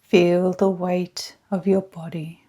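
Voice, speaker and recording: A woman guides a meditation in a very unhurried, measured voice. Her tone is mellow and hushed, staying quite even in pitch. She pauses often between short, soothing phrases, with some breathiness. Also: slightly rough. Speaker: guided meditation leader, energy healer. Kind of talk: slow scripted guided meditation.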